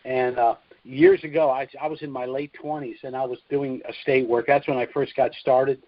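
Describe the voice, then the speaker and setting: A man's voice: mid-range voice, expressive, moderate pace, dry recording. A middle-aged man talks as the host of a call-in conference. His delivery is informal and chatty, like someone telling stories. The audio is dry and muffled, a lo-fi conference line. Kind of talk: casual chat and storytelling.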